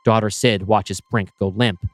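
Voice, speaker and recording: A man reading his screenplay aloud, with brisk scene-setting narration. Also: fairly low pitch, fairly even intonation, no echo. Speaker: writer pitching a screenplay.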